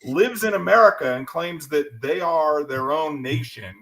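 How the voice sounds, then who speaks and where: A man's voice: on the high side, lively intonation, unhurried, a bit bright. A man co-hosting a podcast, explaining animatedly in casual chat and often restarting his words. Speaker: podcast co-host.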